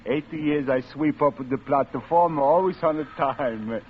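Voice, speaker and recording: An actor playing a simple, chatty railway platform sweeper with a foreign accent and broken English, in an old radio drama. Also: male voice, on the high side, a little slow, natural voice. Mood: friendly, chatty. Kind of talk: 1940s radio drama character acting. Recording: muffled, noisy vintage radio recording.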